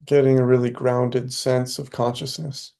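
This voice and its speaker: A man leading a guided meditation, speaking softly and slowly with long pauses between phrases. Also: medium pitch, flat delivery, vocal fry. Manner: slow, soft, long pauses.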